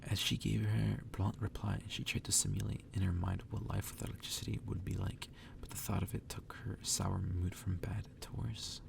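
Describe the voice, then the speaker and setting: A man reading a story aloud in a hushed, breathy ASMR whisper. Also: level tone, normal speed, hardly any pauses, slight background noise. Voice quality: breathy whisper, gravelly low voice. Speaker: ASMR reader.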